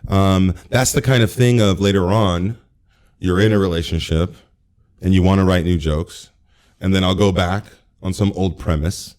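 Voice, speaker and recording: A man with a deep voice, a guest comedian chatting casually on a podcast, joking and self-deprecating. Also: fairly even intonation, normal speed, a little mellow.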